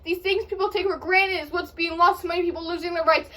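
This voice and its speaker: A woman's voice: extremely high pitch, fairly even intonation, quick pace, sharp tone. A teenage girl reciting slam poetry in an impassioned, emphatic, rhythmic delivery. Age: teenager, about 14. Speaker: amateur slam poet.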